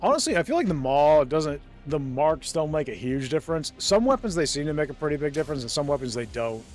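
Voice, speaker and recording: A man casually talking through a co-op space game on stream, chatty and quick to laugh, thinking aloud with plenty of ums. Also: fairly high pitch, sing-song, soft background music. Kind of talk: casual gameplay commentary. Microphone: desk mic with noise suppression.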